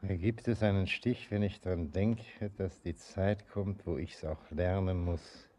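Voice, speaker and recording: Deep, slow, husky brooding father. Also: fairly even intonation, mellow, slight background noise. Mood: brooding, tender. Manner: declamatory, lyrical, ruminative. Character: brooding, sentimental ageing father, mine master. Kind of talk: German radio play stage acting.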